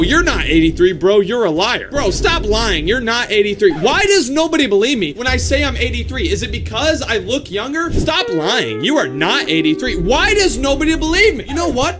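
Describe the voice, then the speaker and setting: A man doing a comedic monologue skit, ranting in an exasperated, incredulous way and repeating his questions. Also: extremely high pitch.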